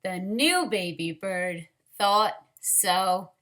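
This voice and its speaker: A woman reading a picture book aloud to young children, expressively, with drawn-out words and wondering asides to them. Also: medium pitch, expressive, a bit bright. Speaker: storytime reader for young children.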